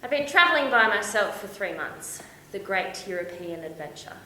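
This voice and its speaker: A woman performing a stage monologue as a young, romantic, homesick backpacker, confiding and expressive with dramatic pauses. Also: medium pitch. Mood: wistful, eager. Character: young Australian woman backpacker, dreamy, lovestruck, heartbroken, homesick. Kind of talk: theatrical stage monologue, storytelling to an audience. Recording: echoey room with faint noise.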